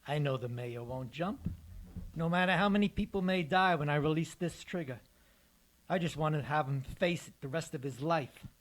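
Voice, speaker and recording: An actor playing a bitter, menacing escaped convict in a live radio drama, speaking with formal, mocking courtesy. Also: male voice, fairly high pitch, normal speed, close to an everyday voice. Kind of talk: live recreation of golden age radio drama.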